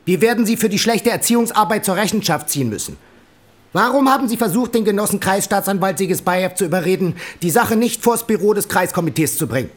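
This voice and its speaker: An actor playing a stern, authoritarian party secretary who chairs a tribunal in a curt, formal way, in a radio drama. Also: male voice, high-pitched, lively intonation, quick pace. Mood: curt, reproving. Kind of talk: German radio drama acting.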